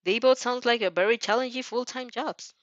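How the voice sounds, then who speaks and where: A woman's voice: a little high, brisk, dry recording, highs cut off, pitched above the performer's own voice, lightly acted. Woman as a defensive, flustered teenage girl. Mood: exasperated. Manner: hesitant, defensive. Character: nervous, defensive teenage girl student, stammering and stubborn. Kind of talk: amateur fan fiction character reading.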